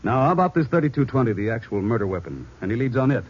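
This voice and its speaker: An actor playing an authoritative American police chief in an old radio drama, briefing his detectives in a brisk, terse, commanding way. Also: medium pitch, big pitch swings, breathy edge. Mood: brisk, decisive. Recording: muffled, faintly noisy old radio recording.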